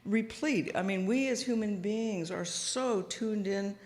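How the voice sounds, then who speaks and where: A soft-spoken woman minister giving a gentle, thoughtful sermon, pausing often with small fillers, speaking into a microphone in a church sanctuary. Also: mid-range voice. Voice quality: quiet voice. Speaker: guest minister. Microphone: house sound system microphone. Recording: long hall reverb.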